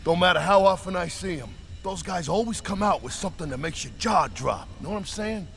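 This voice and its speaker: A man's voice: high voice, brisk, gravelly, a bit bright, faint music behind. An actor playing an easygoing, chatty spaceship crewman with informal slang in a video game. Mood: impressed.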